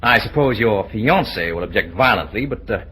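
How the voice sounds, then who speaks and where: A man's voice: fairly low pitch, animated, normal speed, pushed and bright, husky, full character voice, rough recording. An actor playing a blunt, lovesick young man, stubborn and earnest, in an old-time radio drama. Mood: jealous, earnest. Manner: tactless, earnest.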